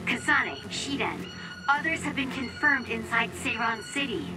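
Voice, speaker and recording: A concerned young operator girl in an anime game, highish voice, bit far off, music behind. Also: lively intonation, a little slow. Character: caring young female remote mission operator. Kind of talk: anime video game voice acting. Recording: distant sound, loud music under voice. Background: game soundtrack music.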